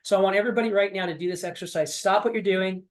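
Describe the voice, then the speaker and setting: A man's voice: high voice, steady tone, racing. Man in his forties giving a motivational coaching pep talk. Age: 40s. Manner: coaching, repetitive, pep-talk with many fillers. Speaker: sales coach. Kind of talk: motivational training call.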